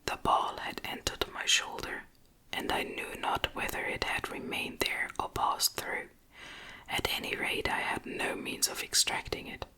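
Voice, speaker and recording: Female whisper narrator for gothic literature: fully unvoiced, very bright, breathy, measured and gentle ASMR delivery, close-miked. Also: rough recording. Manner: gentle, measured, deliberate phrasing. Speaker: audiobook reader. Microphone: close microphone.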